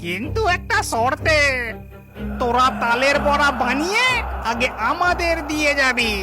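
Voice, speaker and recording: A woman's voice: sits high, expressive, measured pace, loud music behind the voice. A woman voicing a greedy ghost husband in a children's cartoon, wheedling and bossy. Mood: bargaining, threatening. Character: greedy, bargaining old-married male ghost husband. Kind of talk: Bengali children's cartoon voice acting.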